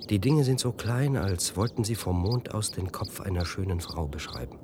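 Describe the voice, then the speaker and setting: An actor playing a troubled, brooding man in a radio drama, halting and searching for words. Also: deep voice, animated. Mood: brooding, intent. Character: troubled, brooding man musing about other realities. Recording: audible background noise.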